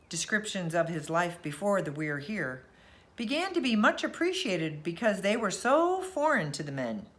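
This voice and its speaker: A woman reads a storybook aloud for children at bedtime. Her voice is bright and forward, with very animated pitch. She tells the tale expressively.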